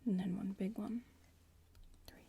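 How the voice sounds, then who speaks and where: A woman playing a smug, bratty young witch in training in an ASMR roleplay, softly whispering and murmuring close to the microphone in an unhurried way. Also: fairly even intonation, background noise. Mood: focused, murmuring. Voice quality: soft, breathy whispering mixed with low murmur.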